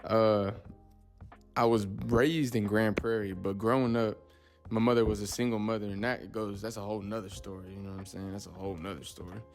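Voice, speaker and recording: Young man, 20s, podcast host; low voice, loose rambling delivery, faint music bed. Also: fairly even intonation, a little fast, darkish tone. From Texas, USA. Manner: loose and rambling, says "you know what I'm saying" often. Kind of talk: casual solo podcast talk.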